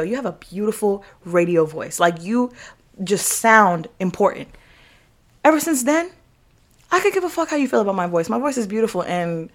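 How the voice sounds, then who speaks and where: A young American woman hosting a podcast, giving a casual motivational monologue in a candid, emphatic, slangy way with swearing and repeated phrases. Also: mid-range voice. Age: young adult. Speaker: podcast host.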